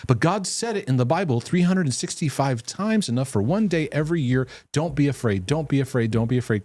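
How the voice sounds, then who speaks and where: A man's voice: mid-range voice, darkish tone, no echo. A man hosting a live question-and-answer broadcast, giving earnest, reassuring faith-based teaching. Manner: earnest, reassuring, lots of restarts. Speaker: host.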